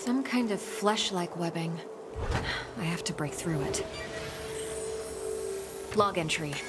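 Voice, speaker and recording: A woman playing an observant scientist explorer in a sci-fi video game, speaking in a measured, analytical way. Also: fairly low pitch, animated, over loud music. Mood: focused, curious. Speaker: game protagonist. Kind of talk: scripted video game voice acting, spoken log entries and musing aloud.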